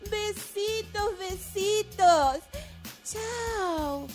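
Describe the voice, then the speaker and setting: A woman's voice: very high-pitched, animated, drawn-out words, soft background music, heavily acted. A woman playing a sly, gleeful older Argentine mother in a radio drama, with a theatrical, mock-sweet manner. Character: sly, gleeful older mother reading her mocking farewell letter.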